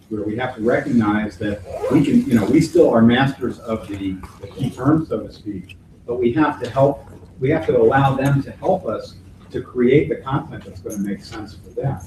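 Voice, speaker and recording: A man speaking as a conference keynote speaker, answering audience questions in a thoughtful way, restarting phrases and using folksy sayings. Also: mid-range voice. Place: hotel conference hall. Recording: echoey hall from far off, faint noise.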